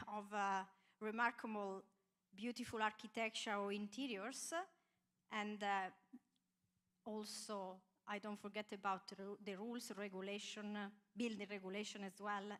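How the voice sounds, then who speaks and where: A woman's voice: medium pitch, a bit bright. A woman in her thirties from Italy, with an Italian accent, introducing herself as an architect on a conference panel, speaking earnestly with many hesitations into a handheld mic. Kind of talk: panel self-introduction on stage. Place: large conference hall stage. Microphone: handheld microphone.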